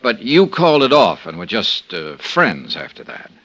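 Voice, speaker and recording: A man's voice: fairly low pitch, huge pitch swings, normal speed, a bit bright, lo-fi sound, full character voice. A man in a 1950s radio drama playing a tough insurance investigator who questions a suspect with cool, dry persistence. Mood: pressing. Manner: cool, pressing interrogation with wry asides. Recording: old radio transcription.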